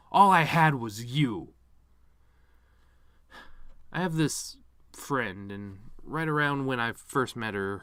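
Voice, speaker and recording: A man's voice: medium pitch, a bit bright, no echo, natural voice. A man performing a dramatic monologue as a bitter, grieving middle-aged cartoon horse-man, sardonic, rambling and wounded. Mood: hurt, angry. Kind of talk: dramatic reading of a eulogy monologue.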